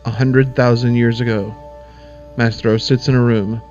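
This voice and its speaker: A male narrator reads scene directions in a plain, matter-of-fact manner. His pitch is mid-range and even. The recording sounds dull and lo-fi. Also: normal speed, soft background music. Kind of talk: scripted narration. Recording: lo-fi fan audio drama.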